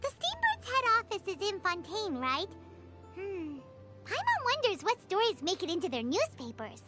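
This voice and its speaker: Tiny squeaky game fairy, super high n bouncy, excitable, music playing behind. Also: female voice, moderate pace, full character voice. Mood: curious, musing. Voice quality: squeaky, childlike. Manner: excitable, exclamatory, refers to herself by name. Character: small childlike floating fairy companion, excitable, naive, money-hungry. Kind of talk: video game character dialogue.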